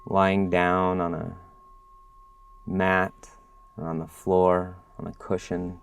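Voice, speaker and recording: A man with a low voice leads a guided meditation at a very slow pace. His phrasing is gentle and instructional, with long pauses, and his intonation stays flat and even. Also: vocal fry, clean tone. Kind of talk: guided body-scan meditation.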